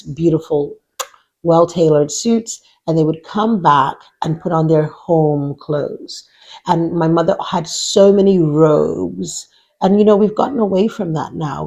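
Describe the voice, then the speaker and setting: A middle-aged woman from Nigeria speaking as an executive coach, giving deliberate, emphatic motivational advice in an instructive way. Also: lowish voice, dark tone, slightly rough, lo-fi sound. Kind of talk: motivational advice talk to camera.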